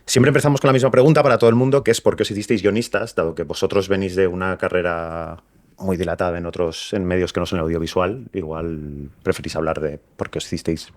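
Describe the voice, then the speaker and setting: A low-pitched man with a Spanish accent from Spain hosts a podcast. He introduces and questions his guests casually, with slightly halting phrasing. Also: fairly even intonation. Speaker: podcast host.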